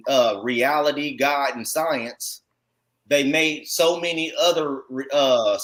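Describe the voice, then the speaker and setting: A man's voice: medium pitch, fairly bright tone, poor audio. An American man giving informal religious teaching as a congregation teacher, emphatic and repetitive, often checking in with rhetorical tag questions. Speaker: congregation teacher commenting on read text.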